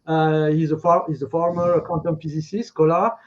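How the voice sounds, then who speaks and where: A man hosting a live online interview, speaking haltingly and effusively, often repeating words. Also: a little high, flat delivery. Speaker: interview host. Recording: dull, lo-fi call audio.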